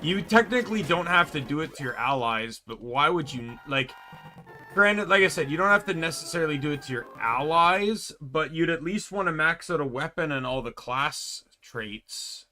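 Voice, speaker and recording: A man's voice: fairly high pitch, animated, moderate pace, pushed and bright, faint music behind. A man giving casual, rambling, explanatory commentary while streaming a video game.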